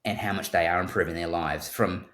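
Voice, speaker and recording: Man, New Zealand accent, very deep, thoughtful, restarts sentences, roomy echo. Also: steady tone, a little fast, a bit bright. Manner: thoughtful, repeats words when starting sentences. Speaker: guest surgeon. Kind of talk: conversational interview.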